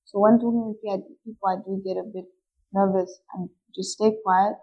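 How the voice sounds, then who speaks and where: Shy young woman in her late teens from Malawi, hesitant and halting, with frequent fillers and restarts. Her answers come across in casual interview talk, and the recording sounds dull and lo-fi. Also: mid-range voice, steady tone. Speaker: footballer guest.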